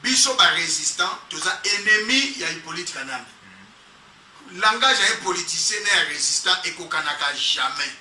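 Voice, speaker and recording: A man making forceful political declarations. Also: fairly high pitch, lively intonation, normal speed. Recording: echoey, distant mic, faint noise.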